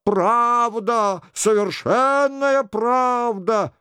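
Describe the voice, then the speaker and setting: Мужчина-чтец играет насмешливого шута, который жалобно, нараспев изображает кающегося короля. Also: male voice, smooth, dry recording, clean studio sound. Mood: plaintive. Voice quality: plaintive, high-pitched. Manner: drawn-out, exaggerated penitence. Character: mocking jester imitating the king in plaintive mock confession.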